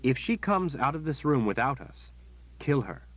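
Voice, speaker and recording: Man playing a composed noble lady, firm and controlled, lifted a little higher than his own voice. Dull, dry studio sound. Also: big pitch swings, moderate pace, fairly bright tone. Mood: stern. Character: commanding young noble woman, calm, steely.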